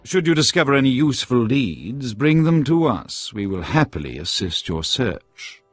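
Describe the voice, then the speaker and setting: A man's voice: mid-range voice, sing-song, normal speed, no echo. An actor playing a formal, courtly alien man who speaks for a crime boss in florid phrases in a video game. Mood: wry, courteous. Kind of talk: video game character acting. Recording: music under the voice.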